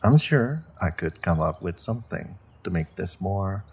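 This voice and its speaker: A man playing a menacing but courteous evil sorcerer in a fantasy audio roleplay, speaking in measured, polite phrases with veiled threat. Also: low voice, animated, vocal fry, a little mellow, husky, heavily acted. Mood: polite menace.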